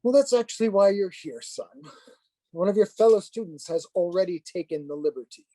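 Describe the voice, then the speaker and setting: A man's voice: high-pitched, lively intonation, dark tone, no room sound, rough recording. Stern, dryly sardonic priest played by a man. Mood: probing. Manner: formal, measured, lecturing. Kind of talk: dramatized play script reading.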